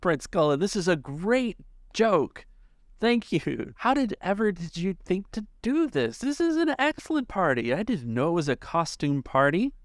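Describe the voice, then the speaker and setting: A man's voice: high voice, sing-song, moderate pace, no room sound, studio quality, pitched above the performer's own voice. A man voicing a jolly, oblivious male party guest in a tabletop game, gushing and exclaiming with amusement. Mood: amused, delighted. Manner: exclaiming, effusive.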